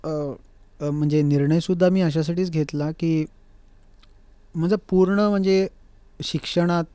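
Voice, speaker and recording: A man's voice: on the high side, fairly even intonation, measured pace, mellow, smooth, no echo. A young man, answering interview questions conversationally as a guest singer and slipping in English phrases. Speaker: guest vocalist being interviewed. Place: recording studio.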